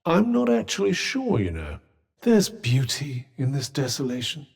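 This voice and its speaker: A sentient magical cuff from a video game, a male companion with a British accent and an arch, haughty wit. His mid-pitched delivery is articulate and dry, yet it rises and dips freely as he delivers sardonic understatement. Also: wildly swooping pitch, unhurried, gravelly, breathy edge, heavily acted. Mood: sardonic, musing. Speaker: voice actor.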